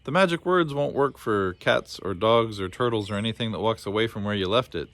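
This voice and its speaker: A man's voice: on the low side, fairly quick, fairly bright tone. A man voicing a cheerful, forgetful old man with a folksy, absent-minded manner in a storybook. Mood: confused, apologetic. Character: cheerful, forgetful old man running a lost-things land. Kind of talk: storybook character voice.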